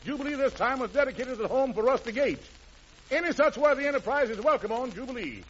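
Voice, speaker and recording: Male American variety-show host, 1940s radio, very high register, slangy showman patter, muffled and noisy vintage sound. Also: lively intonation, quick pace, a bit bright. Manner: jive-talking, wisecracking showman patter. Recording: dull, noisy 1940s radio recording with crowd.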